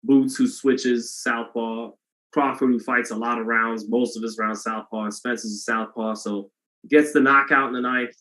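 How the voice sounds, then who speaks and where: Male boxing host on a livestream, mid-pitched, monotone, rambling and casual with the chat. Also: moderate pace, rough recording. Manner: monotone delivery, self-described; loose and rambling, many restarts.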